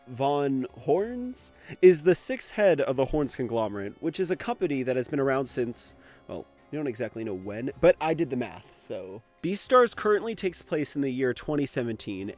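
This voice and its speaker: Man narrating a lively, casual video essay. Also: mid-range voice. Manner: informal, conversational, occasional swearing. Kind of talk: casual scripted commentary on an anime.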